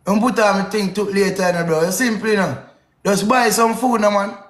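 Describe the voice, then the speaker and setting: High-pitched Jamaican man bantering in echoey room. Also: brisk. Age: 20s. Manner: animated, emphatic, repeats himself. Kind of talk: casual bantering livestream chat. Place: indoors in a home living room. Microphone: phone or webcam close in front, split-screen live call.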